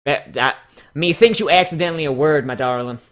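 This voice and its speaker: A man with a fairly high, sharp and bright voice reads aloud in a mocking, theatrical way. He breaks into casual, sarcastic commentary and swears freely. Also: fairly quick. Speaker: host of a dramatic reading show.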